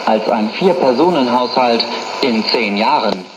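A man's voice: medium pitch, expressive, unhurried, roomy sound. A man narrating a scripted radio report as a reporter. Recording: noisy shortwave radio played in room. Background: shortwave static.

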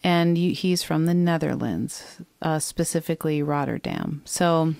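A woman in her thirties with a Minnesota accent hosts a relaxed podcast chat. Her voice sits fairly low, and the recording is close and dry. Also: unhurried, vocal fry, dry recording. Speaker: podcast co-host. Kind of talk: casual chat. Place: small home studio, indoors. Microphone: headphones and boom mic.

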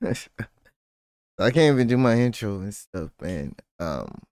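Hoarse laid-back gaming host, man. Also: on the low side, big pitch swings, slow, darkish tone, dry recording, studio quality. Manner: laid-back, rambling, filler-heavy. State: hoarse, voice nearly gone. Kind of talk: casual gameplay commentary.

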